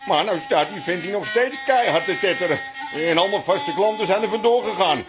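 Radio drama: beleaguered, friendly Dutch male barkeeper played by an actor, plain-spoken, lightish pitch, music underneath. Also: brisk, natural voice. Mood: weary. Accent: Dutch, from the Netherlands. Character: genial, beleaguered male café owner. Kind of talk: naturalistic radio drama acting. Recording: music behind the dialogue.

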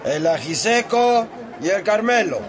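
An actor playing a formal cockfight judge calling bouts in a drawn-out announcing manner for a radio drama. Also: male voice, high-pitched, expressive. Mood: formal, proclaiming. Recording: distant voice amid crowd and music.

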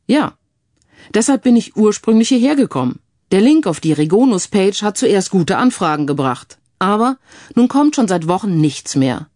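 A woman's voice: medium pitch, big pitch swings, moderate pace, darkish tone, no echo, pitched above the performer's own voice, natural voice. Woman voicing a keen, dry younger tech man. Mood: matter-of-fact. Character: keen younger male IT colleague. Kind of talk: audiobook character dialogue.

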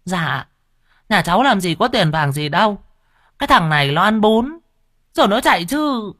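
A man's voice: high voice, very animated, normal speed, fairly bright tone, no echo, studio quality, pitched above the performer's own voice, exaggerated voice. A man voicing a flustered middle-aged woman noodle-soup seller who wails and stammers. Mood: pleading. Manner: wailing, pleading, stammering.

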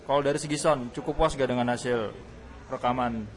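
A man's voice: medium pitch, steady tone, fairly quick. A man hosting a casual radio music interview in a chatty, informal, slangy way.

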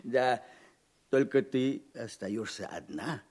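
An actor playing a kindly, good-natured old grandfather in a Russian fairy-tale audio play, doting and unhurried. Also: medium pitch, a little mellow, breathy edge. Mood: doting, concerned.

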